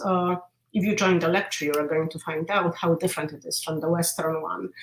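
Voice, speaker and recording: A woman with a Polish accent, speaking a little low over a video call. Her audio sounds roomy and reverberant. She explains her ideas thoughtfully, pausing with small hesitations. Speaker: literature professor.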